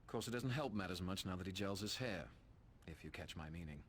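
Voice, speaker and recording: Low-voiced sardonic English vampire rogue. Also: male voice, lively intonation, a little fast, slight background noise. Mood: scheming, wry. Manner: sardonic, slangy. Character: cynical, cocky British male vampire, roguish reluctant ally. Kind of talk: video game cutscene acting.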